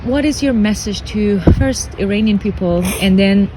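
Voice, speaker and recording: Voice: mid-range voice, normal speed. A radio interviewer asking polite, formal questions outdoors. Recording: street noise outdoors.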